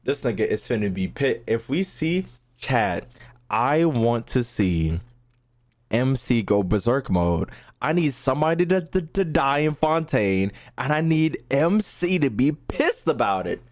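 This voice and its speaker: A man's voice: medium pitch, big pitch swings, unhurried, a little mellow. A man giving casual, blunt gaming commentary as a streamer, full of slang and swearing, with game music behind. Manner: blunt, irreverent, profane.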